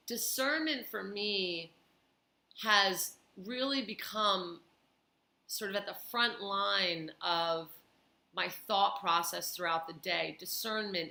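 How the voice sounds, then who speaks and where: A tired woman talking slowly and thoughtfully in a personal vlog, pausing often with fillers. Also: mid-range voice, gravelly, sharp tone, echoey room. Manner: slow, thoughtful, with many pauses and fillers. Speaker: vlogger.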